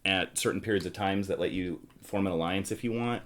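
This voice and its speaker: A man with a fairly low voice hosts a relaxed board game discussion. He is chatty and explanatory, often turning to the others with questions. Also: a little fast. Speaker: podcast host.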